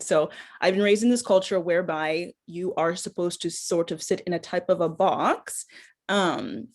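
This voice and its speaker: A middle-aged woman speaking as a panel guest, her voice pitched somewhat low for a woman. She talks in an earnest, reflective way. She is heard over a video call. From Kenya. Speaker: panelist, public health researcher. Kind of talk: panel discussion.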